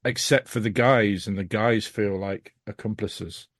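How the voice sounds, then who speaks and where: A man's voice: lowish voice, fairly even intonation, a little slow, dry recording, clean studio sound. A British man as a podcast guest, chatting casually in a blunt, emphatic, sweary way. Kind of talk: casual chat.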